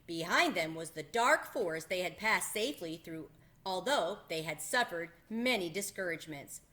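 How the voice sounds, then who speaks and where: A woman narrating a children's storybook aloud in an expressive manner, as the host of a read-aloud. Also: fairly low pitch, normal speed.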